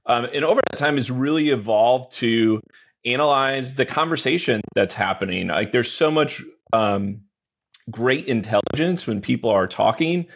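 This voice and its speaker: A man's voice: medium pitch, animated, moderate pace, a bit bright. A man speaking as an executive guest on a podcast, explaining things in a conversational way.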